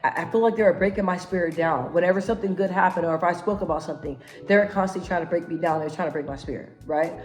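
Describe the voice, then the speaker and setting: A woman's voice: fairly low pitch, rapid-fire, hardly any pauses. Emphatic, self-assured woman over faint music. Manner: emphatic, repeats phrases, constant tag questions seeking agreement. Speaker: vlogger giving self-help advice. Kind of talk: casual motivational talk to camera.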